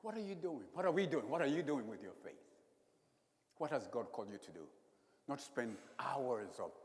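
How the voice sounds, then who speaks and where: An older man delivers a sermon, his pitch rising and falling with lively swings. He preaches in an animated way, repeating phrases and slipping in humor. His voice carries a long reverb through the church. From Uganda. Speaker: retired priest.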